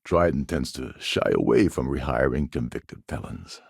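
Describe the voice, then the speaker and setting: A roguish space captain with a pirate past for a video game. His voice is low and husky, with very lively swings in pitch. He talks like a sardonic, smooth schemer. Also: moderate pace, no echo, studio quality, exaggerated voice. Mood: peeved, sardonic. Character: roguish, wry male starship captain and former pirate. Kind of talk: video game character dialogue.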